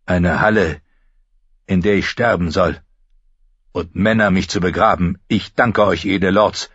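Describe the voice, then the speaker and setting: A man's voice: sits low, steady tone, measured pace, fairly bright tone, slightly rough, no room sound, lo-fi sound, heavily acted. A man voicing a proud, scornful old knight in an audiobook. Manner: contemptuous, stern.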